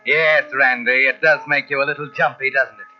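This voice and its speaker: An actor playing a sinister, knowing narrator in a 1940s radio mystery, taunting a guilty man in a deliberate, insinuating way. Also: mid-range voice, steady tone, natural voice. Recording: muffled old radio tape with music behind.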